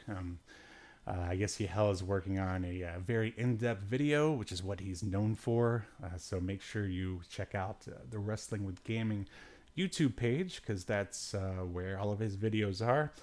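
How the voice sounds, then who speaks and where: A man with a fairly low voice talks as a podcast host in a loose, casual livestream style. He rambles along and jokes dryly as he goes. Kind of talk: casual solo livestream chat about obscure media.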